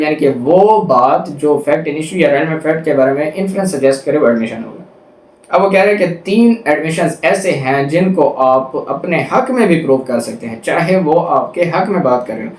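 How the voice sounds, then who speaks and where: A man with a Pakistani accent giving a law lecture, reading formal text aloud and explaining it step by step with examples. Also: a little high. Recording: echoey, roomy sound.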